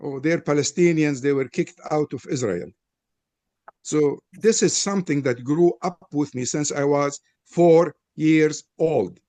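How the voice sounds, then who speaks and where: A man's voice: a little high, unhurried, dark tone, no room sound. Older Lebanese man speaking earnestly. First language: Arabic. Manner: earnest, frank, personal storytelling. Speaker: panel presenter. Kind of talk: interfaith panel statement.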